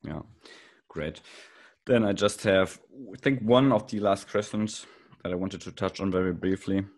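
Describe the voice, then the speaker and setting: A man with a fairly low voice interviews a guest in a casual podcast style. He interjects often, agreeing and adding points of his own. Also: normal speed. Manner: interjects to add examples and agreement.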